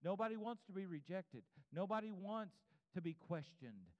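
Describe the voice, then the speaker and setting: A man's voice: high-pitched, very animated, moderate pace, darkish tone. Preacher in his fifties, muffled echoing hall. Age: 50s (52). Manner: earnest, repetitive exhortation, direct address to congregation. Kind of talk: evangelical church sermon with altar call. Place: church service before a congregation. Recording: muffled, reverberant large room.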